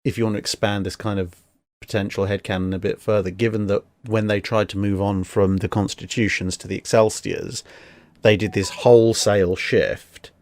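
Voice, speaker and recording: A man's voice: fairly low pitch, flat delivery, normal speed, a little mellow, no echo. A man chatting casually and analytically, rambling a little as he thinks aloud. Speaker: discussion guest.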